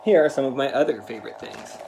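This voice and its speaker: A man speaking to children in an earnest, careful, deliberate way, as in a read-aloud. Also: medium pitch, vocal fry, noisy background. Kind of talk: read-aloud of a children's picture book with spoken introduction.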